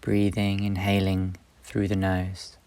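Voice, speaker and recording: A man leading a guided meditation, speaking slowly and gently in short, soft phrases with long pauses. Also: low voice, monotone, smooth. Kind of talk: guided meditation, instructional and soothing.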